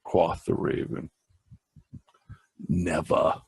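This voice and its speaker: A man giving a theatrical dramatic poetry recitation in a gravelly, husky voice, with drawn-out pauses and emphatic repeats. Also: low-pitched, monotone, a little mellow, dry recording. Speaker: amateur reader of a classic poem.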